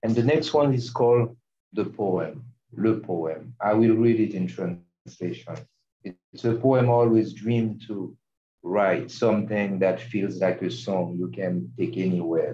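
A middle-aged man with a Haitian accent, whose first language is Haitian Creole, giving a slow and measured poetry reading over a video call. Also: low voice. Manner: slow, measured, soft-spoken. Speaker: poet reading. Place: large room with seated audience. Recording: muffled echoey room audio.

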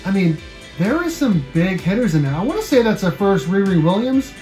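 A man's voice: high-pitched, big pitch swings, brisk, mellow, faint music behind. An American man in his thirties hosting a casual video, running through items for sale in a room at home. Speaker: YouTube channel host. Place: small room at home, indoors.

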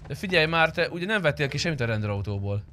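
A man's voice: a little high, lively intonation, pushed and bright, a little hiss or hum. A young man chatting casually while streaming a roleplay game on a close microphone. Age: young adult.

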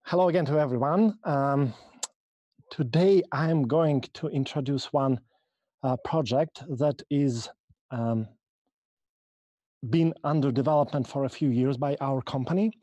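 A man from Russia with a Russian accent, speaking English as a second language and giving a halting technical conference talk over a video call, full of ums and restarts. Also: medium pitch, warm tone, no room sound, lo-fi sound. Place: small room, video call.

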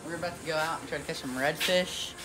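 A male speaker chatting casually outdoors and giving hurried, repeated instructions, picked up by someone else's handheld camera. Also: on the high side, steady tone. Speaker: fishing companion. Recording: loud music over distant outdoor voice.

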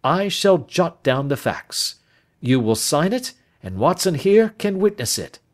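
A man voicing a composed, precise detective in an audiobook, speaking in a measured, gentle but firm way, pitched higher than his narration. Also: big pitch swings. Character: sharp, composed male detective, calm and precise. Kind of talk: audiobook character voice.

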